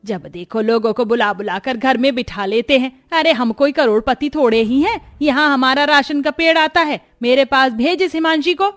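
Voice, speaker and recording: A woman's voice: sits high, moderate pace, hardly any pauses, no echo, close to an everyday voice. A woman voicing a worried, stern older mother-in-law in a cartoon, scolding and pleading. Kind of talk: cartoon voice acting.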